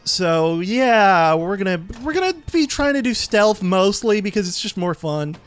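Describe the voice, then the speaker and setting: A man's voice: sits high, very animated, unhurried, smooth, soft background music. A man giving casual, easygoing commentary as he plays and explains a video game. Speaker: let's play host and player.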